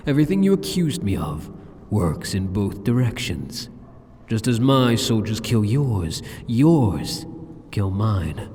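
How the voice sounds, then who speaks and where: A man's voice: lowish voice, warm tone, slightly rough, heavily acted. A man playing an arrogant, seductive, sadistic demon king in an ASMR roleplay, theatrical, taunting and condescending. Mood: sardonic, mocking. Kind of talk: ASMR roleplay, scripted monologue. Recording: long cavernous reverb.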